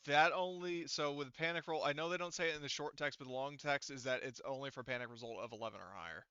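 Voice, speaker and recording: A man's voice: medium pitch, quick pace, sharp tone, smooth, no room sound, lo-fi sound. A man refereeing a tabletop role-playing game in casual talk, swearing freely. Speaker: game master.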